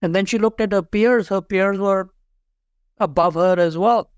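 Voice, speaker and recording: A man in his fifties from Pakistan with a Pakistani accent, telling career stories as an interview guest in an earnest, steady way. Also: high voice, measured pace, smooth, dry recording. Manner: earnest, steady, repeats key points. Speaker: guest, HR leader and author. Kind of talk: interview storytelling.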